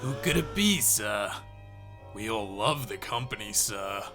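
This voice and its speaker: A man's voice: fairly low pitch, lively intonation, measured pace, bright, forceful tone, soft background music, full character voice. A man playing a company employee speaking to his boss in a comedy sketch. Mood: deferential.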